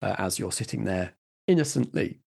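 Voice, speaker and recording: A man's voice: lowish voice, animated, moderate pace, vocal fry, a little mellow, husky. A man narrating scenes and giving rulings as the game master of a tabletop role-playing game.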